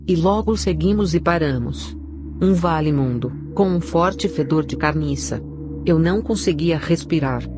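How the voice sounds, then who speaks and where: A woman's voice: low voice, normal speed, noisy background. A woman with a Brazilian accent narrating a scripted, dramatic religious testimony. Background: prominent music.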